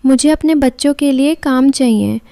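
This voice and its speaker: A humble, devoted mother bird from a cartoon , played by a woman. Her voice is high and mellow, with polite, earnest phrasing and a dry, close sound. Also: moderate pace, vocal fry, dry recording. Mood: pleading. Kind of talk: animated moral story dialogue.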